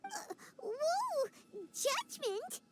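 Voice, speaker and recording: A woman voicing a small, excitable, cheeky childlike fairy companion in a video game, with a squeaky voice. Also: extremely slow, noisy background, full character voice. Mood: nervous. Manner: exclaiming, refers to self in third person. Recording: music behind the voice.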